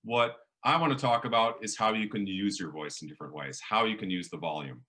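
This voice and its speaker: A middle-aged man with a big, booming voice, leading a public speaking workshop and playing with his volume and pace to make his points. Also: brisk. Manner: animated, varies volume and pace for emphasis. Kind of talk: lively workshop lecture.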